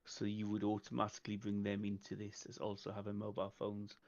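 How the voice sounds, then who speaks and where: A man playing a tabletop roleplaying game, calmly reasoning aloud in casual discussion, on a slightly muffled mic. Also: fairly low pitch, fast talker. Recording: slightly dull, muffled microphone.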